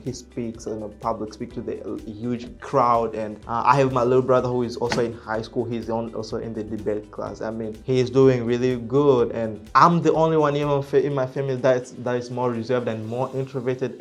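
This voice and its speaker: A real estate agent vlogging to camera in English, which is not his first language. He is reserved and soft-spoken and speaks haltingly, with many fillers and self-corrections. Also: medium pitch. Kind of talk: casual talking-to-camera vlog.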